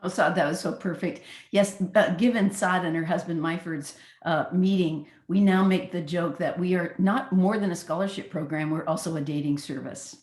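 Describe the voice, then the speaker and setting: A woman hosting a webinar on a video call, praising speakers and moving briskly to the next topic. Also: on the low side, reverberant. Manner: warm praise, chatty, brisk transitions. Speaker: host, program leader.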